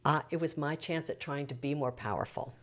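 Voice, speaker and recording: A woman's voice: low voice, brisk. A middle-aged woman speaking as a church preacher in an interview, explaining things in an earnest, reflective, teacherly way.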